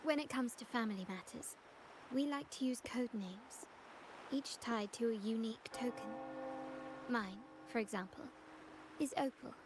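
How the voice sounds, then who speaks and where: Woman acting as formal game heiress, fairly high pitch, measured, composed, cool, noticeable background hiss. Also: close to an everyday voice. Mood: composed, inviting. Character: poised, formal heiress of a powerful family, cool and calculating.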